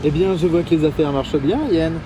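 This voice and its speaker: An actor playing a composed, wry investigator in command, in a French audio drama, speaking in a measured, dry, authoritative way. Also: male voice, on the high side, lightly acted. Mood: dry, probing. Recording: noisy urban ambience.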